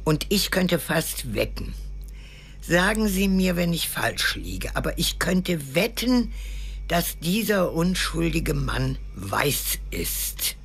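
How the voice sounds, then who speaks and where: Gravelly, sardonic old grandmother. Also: sits low, big pitch swings, slow pace, heavily acted. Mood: bitterly sardonic. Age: older. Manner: sardonic, probing. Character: bitter, proud old grandmother, weary and sardonic. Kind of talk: German audio drama acting.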